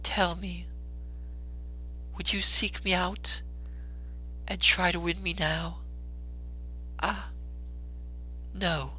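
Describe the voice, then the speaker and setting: A woman playing a gentle, steadfast, sorrowful young woman in a dramatic storybook reading, measured and solemn. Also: on the low side, fairly even intonation, a little hiss or hum, exaggerated voice, rough recording. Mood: sad, steady.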